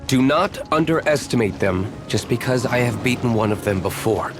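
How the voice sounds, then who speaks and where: A man's voice: mid-range voice, lively intonation, lightly acted. A man voicing a stern, reserved nobleman swordsman in a game cutscene, speaking curtly and seriously. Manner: curt, serious, measured. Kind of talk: video game cutscene voice acting. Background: game music.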